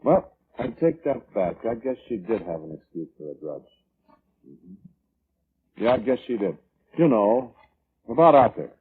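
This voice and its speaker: A man's voice: on the low side, big pitch swings, unhurried, pauses often, slightly rough, heavily acted. An actor playing a selfish, self-justifying man in an old-time radio drama, defensive and colloquial in manner. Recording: muffled vintage radio recording.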